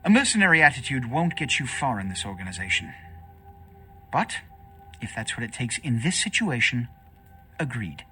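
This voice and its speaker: Haughty, theatrical vampire prince, sweeping delivery. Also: male voice, medium pitch, sing-song, normal speed, pauses often, pushed and bright, a little hiss or hum. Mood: stern. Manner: pompous, formal, grandiloquent. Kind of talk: dramatic video game voice acting.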